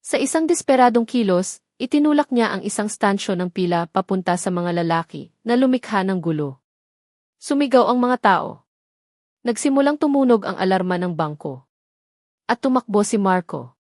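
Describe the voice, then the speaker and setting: A woman's voice: medium pitch, lively intonation, moderate pace, a little mellow, no echo. Filipino lady telling a drama story, scripted narration, super dry close sound.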